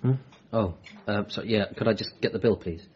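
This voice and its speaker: A man's voice: lowish voice, brisk, muffled recording, a little hiss or hum, lightly acted. An actor playing an earnest, bereaved man, hesitant and apologetic, in a radio comedy drama. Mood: awkward, sad. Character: bereaved, awkward, earnest adult son working as a nurse.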